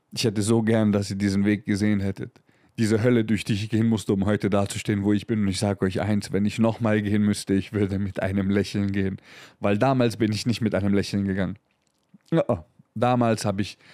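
A man in his thirties speaks in a low register, close and dry, as he hosts his own motivational podcast. His talk is emphatic and full of swearing, with English slang dropped in among the German. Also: warm tone, no echo. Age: 30s. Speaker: podcast host.

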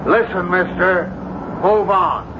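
High-voiced, gruff, frightened sailor. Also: male voice, slow. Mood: curt, hostile. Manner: curt, urgent. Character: gruff, terrified sailor guarding a cursed ship. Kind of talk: 1970s radio drama acting. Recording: noisy, dull old radio broadcast.

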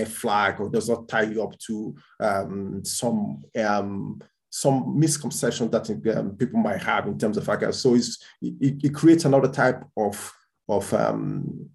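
Man, low voice, gravelly, halting, restarts often, casual interview guest. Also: darkish tone. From born in Spain, raised in Portugal. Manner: halting, many restarts and repeated words. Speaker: guest entrepreneur.

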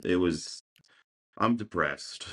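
A man's voice: low voice, slow, a bit bright. A man co-hosting a podcast in casual sports banter, with punchy, slogan-like lines and repeated phrases. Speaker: podcast co-host.

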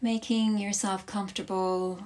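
Slow-paced woman guiding a relaxation class. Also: medium pitch, flat delivery, darkish tone. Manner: soothing, instructive, with long pauses between short phrases. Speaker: yoga nidra instructor.

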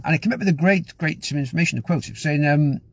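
A man's voice: on the high side, racing, gravelly, breathy edge, no room sound. A man giving an upbeat, rambling motivational talk as host of his own show. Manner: upbeat, rambling with frequent restarts. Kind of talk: casual motivational talk to camera.

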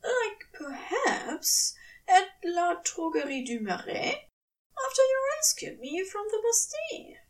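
A woman's voice: very high voice, very animated, slow, far from the mic, voice pushed up, heavily acted, rough recording. A woman voicing a tender, prim male angel lover in an audio fan fiction, earnest and coyly hesitant in phrasing. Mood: coy, playful.

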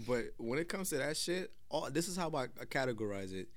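A man's voice: medium pitch, sing-song, fairly quick. A young man chatting casually on a podcast, telling loose stories and breaking into big laughing fits. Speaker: podcast co-host. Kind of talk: casual chat.

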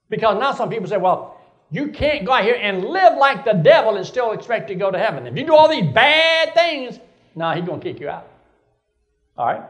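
High-voiced older preacher in his seventies. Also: fairly bright tone. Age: 70s. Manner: preaching with rising emphasis, rhetorical questions. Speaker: pastor. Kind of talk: sermon.

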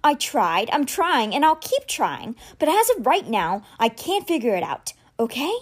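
A woman's voice: high voice, sing-song, unhurried, husky, exaggerated voice. A woman reading aloud, voicing a desperate, angry teenage boy leader from a storybook.

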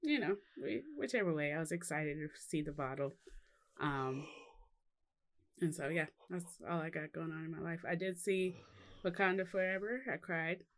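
A woman's voice: low voice, moderate pace. A woman co-hosting a podcast from home in casual chat, rambling and laughing often. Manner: giggly, rambling. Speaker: podcast co-host. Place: home in Texas.

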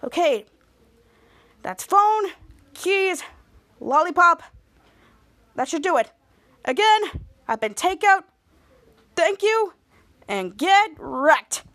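Comic superhero-story villain woman performing, courteous thief with smug, taunting ease, very high-pitched. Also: big pitch swings, slow, long pauses, fairly bright tone, exaggerated voice. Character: cheeky, polite takeout-themed robber villain.

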